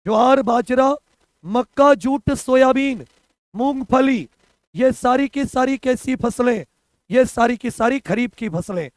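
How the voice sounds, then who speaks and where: A hoarse young man teaching a live online exam-prep class, repeating answers and quizzing his students. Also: extremely high pitch, no echo. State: hoarse from a cough.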